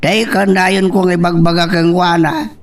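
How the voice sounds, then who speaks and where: A man's voice: high-pitched, fairly even intonation, moderate pace, distant mic, lightly acted. A man with an Ilocano accent playing a complaining, nagging family man in an amateur audio drama. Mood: grumbling.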